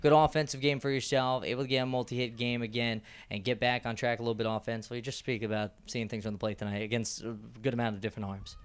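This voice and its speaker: A man's voice: medium pitch, level tone, quick pace, hardly any pauses, clean tone. A young man with a handheld mic, hosting a postgame sports interview with long, rambling, upbeat questions. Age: young adult. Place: small indoor press backdrop.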